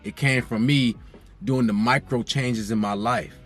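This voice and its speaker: A man's voice: mid-range voice, a little slow, fairly bright tone, soft background music. A young man talking casually to his viewers as a vlogger, laid-back and conversational, repeating phrases for emphasis. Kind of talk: casual direct-to-camera vlog talk.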